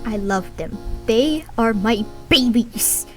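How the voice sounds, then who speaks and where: A woman's voice: fairly high pitch, animated, slow pace, a bit bright, soft background music. A woman reviewing an anime in a casual, blunt, profane and sarcastic way.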